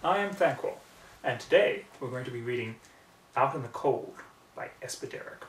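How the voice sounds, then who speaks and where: A man narrates a story in a dramatic, expressive way with halting pauses. His voice sits at a middling pitch, a little away from the mic in an echoey room. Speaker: reader of fan fiction. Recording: roomy, distant mic, background noise.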